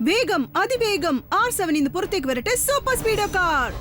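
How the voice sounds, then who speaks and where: A woman's voice: very high-pitched, very animated, moderate pace, heavy background noise. A woman voicing a brave young boy superhero who gives urgent commands. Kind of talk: cartoon dubbing. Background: music.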